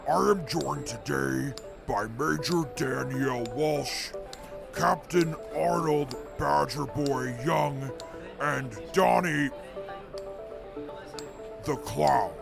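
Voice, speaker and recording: A man playing a pompous gravelly robot reporter. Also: on the high side, extremely slow, music in the background, heavily acted. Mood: grandiose. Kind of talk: audio drama acting.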